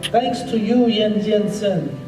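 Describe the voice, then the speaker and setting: A man playing a solemn colonel and parks official in an amateur radio play, speaking in a measured, oratorical way. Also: high-pitched, expressive. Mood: solemn, earnest. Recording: echoey room, distant mic, music behind.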